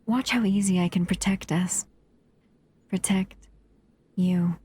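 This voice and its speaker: A woman voicing a breathy, doting monster. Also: fairly low pitch, fairly even intonation, takes it slowly. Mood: coolly confident. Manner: soft, doting, often whispering. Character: doting possessive female monster escaping a cell. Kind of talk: audio roleplay.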